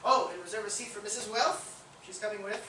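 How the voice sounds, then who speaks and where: An actress playing a flustered, earnest amateur sleuth in a murder-mystery stage farce, speaking emphatically. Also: female voice, mid-range voice, brisk, sharp tone, close to an everyday voice. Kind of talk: comic stage acting at a staged reading. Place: theatre stage, recorded from audience distance. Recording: echoey theatre, distant mic, clear noise.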